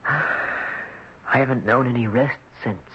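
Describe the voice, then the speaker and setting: A man playing a troubled, weary young surfer who recounts his ordeal dramatically and haltingly in an old radio drama. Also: mid-range voice, close to an everyday voice. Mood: weary, brooding. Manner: dramatic, halting first-person storytelling. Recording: dull, very noisy vintage radio recording.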